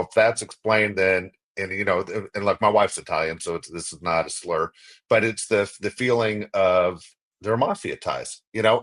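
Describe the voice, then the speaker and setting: A man's voice: fairly low pitch, fairly bright tone. An American man co-hosting a casual podcast interview, putting questions in a wordy, rambling way with frequent restarts. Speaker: podcast co-host.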